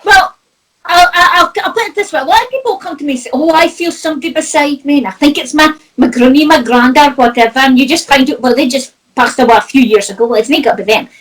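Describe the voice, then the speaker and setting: A woman's voice: high-pitched, fast, fairly bright tone, distant mic, low-quality recording. A Scottish woman with a Doric accent, a spiritual medium chatting casually and telling anecdotes, with lots of "you know" and laughter. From Scotland. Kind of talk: casual chat about spirituality.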